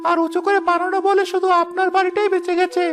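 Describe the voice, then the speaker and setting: A man voicing a sly, resentful henchman of a village headman in a cartoon, in a squeaky put-on voice. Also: flat delivery, fast, noisy background. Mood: alarmed, bossy. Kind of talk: animated moral story voice acting.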